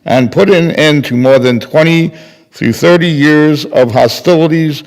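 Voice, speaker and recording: Older man as slow, solemn prisoner representative. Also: a little high, a little mellow. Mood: solemn, deliberate. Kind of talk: readers theater, formal letter reading. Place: large temple hall, at a lectern. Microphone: microphone on a stand at lectern. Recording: reverberant hall recording.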